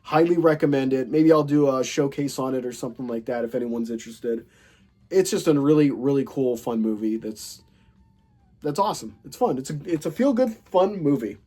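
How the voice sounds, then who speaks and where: A man's voice: medium pitch, animated, a little fast, warm tone. Stuffy-nosed man chatting about movies. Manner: rambling, enthusiastic, many fillers and restarts. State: stuffy, nasal from a cold. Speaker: movie collector host. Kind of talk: casual chat showing a film haul.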